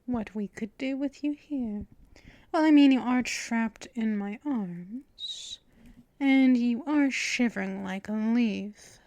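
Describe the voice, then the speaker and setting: A woman voicing a possessive, teasing female vampire mistress in an ASMR audio roleplay, half-whispering in a breathy, intimate way. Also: a little high, slow, darkish tone, dry recording.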